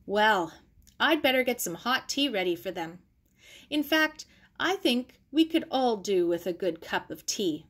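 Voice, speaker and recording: A woman's voice: on the high side, animated, measured pace, fairly bright tone. A woman voicing a kindly, flustered, emotional aunt in a storybook reading. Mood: flustered, bustling. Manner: emotional, exclaiming, fussing. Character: kindly, flustered, tearful aunt, a motherly housewife.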